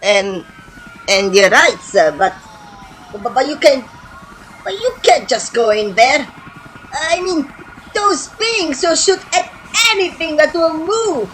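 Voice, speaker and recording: A jittery, foul-mouthed police officer played by an amateur actor in an audio drama. The voice sits fairly high and trips over itself, stammering and repeating words as the officer frets and pleads. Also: animated, slow pace, pauses often, fairly bright tone, slight background noise, exaggerated voice, low-quality recording. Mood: alarmed, pleading.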